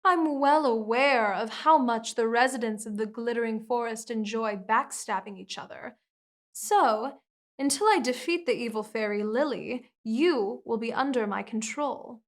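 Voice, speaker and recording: A woman's voice: a little high, a little slow. A woman voicing a commanding, seductive female vampire queen in an ASMR hypnosis roleplay, speaking in a soothing, controlling way.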